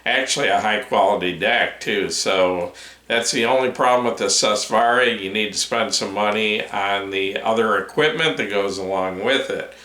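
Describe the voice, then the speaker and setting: Brief: low-voiced man, bright tone, rambling vlog host, hoarse, echoey home room. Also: moderate pace. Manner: rambling, with many fillers and restarts. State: hoarse, voice giving out. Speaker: headphone reviewer, channel host. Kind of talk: casual update vlog to camera. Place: home room with his desk. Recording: roomy echo.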